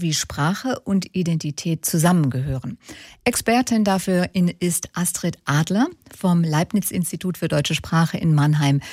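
A woman's voice: fairly low pitch, darkish tone, no echo, studio quality. A woman presenting a radio programme in a composed, structured way, with scripted introductions and interview questions. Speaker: radio host interviewing an expert. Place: radio studio.

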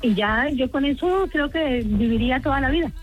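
A woman's voice: high-pitched, animated, moderate pace, highs cut off. A middle-aged woman from Colombia with a Colombian accent, calling a radio show and chatting in talkative, detailed lists. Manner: talkative, detailed, listing things. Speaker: radio phone-in caller. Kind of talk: chatty explanation of home cooking.

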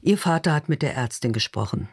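A man reads literary fiction as a narrator, in a mid-pitched voice. The recording is dry, with almost no room sound, and the delivery is that of a scripted audiobook. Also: expressive, fairly quick, slightly rough, no echo.

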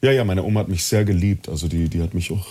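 A man from East Germany telling personal stories as an interview guest, reflective and halting, often repeating words. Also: sits low, mellow, slightly rough. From born in East Germany, partly raised in Chile. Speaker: interview guest, actor and coach. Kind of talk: personal storytelling in conversation.

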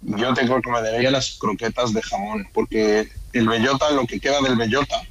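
A man with a Madrid accent speaks at an average pitch. He chats informally as an interviewed restaurateur, peppering his talk with question tags and occasional English words. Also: fairly even intonation, normal speed, fairly bright tone, no echo. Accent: Madrid Spanish. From Spain, born in Ecuador and raised in Madrid. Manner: colloquial, with frequent question tags and English loanwords. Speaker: restaurant owner being interviewed. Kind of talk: casual interview chat.

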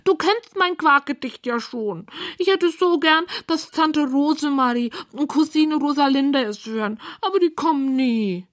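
A woman voicing a vain, lonely, excitable pink pig in a children's bedtime story, squealing and theatrical in a self-important way. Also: high-pitched, measured pace, hardly any pauses, voice pushed up. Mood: lonely, wistful.

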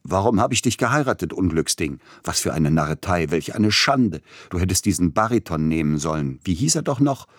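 A man's voice: low-pitched, moderate pace, slightly rough, no room sound, studio quality. A man reading the part of a dying composer in a fever, agitated and exclamatory, swinging between accusation and tenderness, in an audiobook. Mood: raving.

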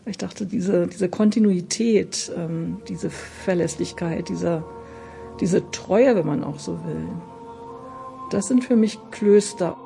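A woman's voice: on the low side, takes it slowly, vocal fry, music in the background. An adult woman speaks spontaneously and reflectively as an interviewee, searching for her words. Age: adult, past twenties.

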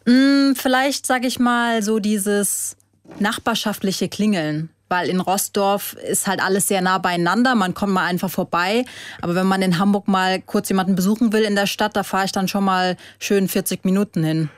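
A woman from Hesse with a slight Hessian accent, chatting as a guest in a radio studio and often pausing with fillers. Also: medium pitch, steady tone, no room sound. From Hesse, Germany. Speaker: interview guest. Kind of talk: casual radio interview.

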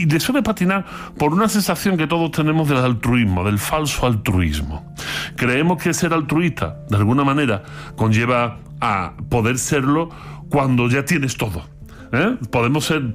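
Playful Andalusian man explaining philosophy. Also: fairly high pitch. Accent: Andalusian Spanish. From Andalusia, Spain. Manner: playful, theatrical asides. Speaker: philosophy commentator. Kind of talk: explanatory radio talk.

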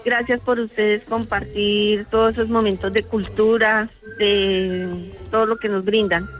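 A woman's voice: medium pitch, little pitch movement, a little slow, faint music behind. A woman from Colombia, calling in to a radio show and chatting about her travels. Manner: chatty, storytelling. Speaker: radio caller. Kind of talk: phone-in chat.

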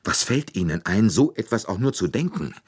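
A man voicing a hot-tempered Italian former chauffeur with an Italian accent, who talks loud, quick and indignant. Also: fairly low pitch, very animated, husky, pitched above the performer's own voice. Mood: defiant.

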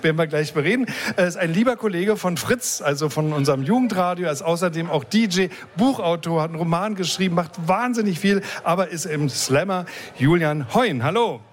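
A man hosting a radio interview, rambling and often restarting his sentences. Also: a little high. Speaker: radio host.

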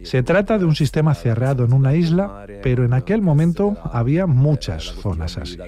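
Guy doing interview dub, mellow relaxed voice, mid pitch. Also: moderate pace. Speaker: voice-over interpreter. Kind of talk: translated interview voice-over.

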